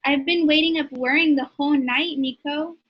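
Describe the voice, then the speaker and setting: A woman acting an anxious, high-voiced housemate. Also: steady tone, moderate pace, dull audio, lightly acted. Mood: worried. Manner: worried, questioning. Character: anxious, protective woman of the household, woken at night. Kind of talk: amateur readers theatre script reading.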